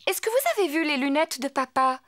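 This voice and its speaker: A woman voicing a gentle, practical mother pig, polite and motherly, in a children's cartoon dub. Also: high-pitched, big pitch swings, brisk, slight background noise. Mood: concerned.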